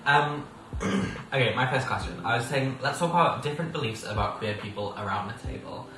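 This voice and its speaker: A sixteen-year-old man hosts a casual podcast chat at a table, joking, rambling and laughing as he goes. His voice sits back from the microphone in an echoey room. A steady background noise runs underneath. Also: medium pitch, brisk. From England. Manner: playful, laughs often, rambling. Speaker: podcast host. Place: indoors at a table. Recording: roomy sound from a distance with background noise.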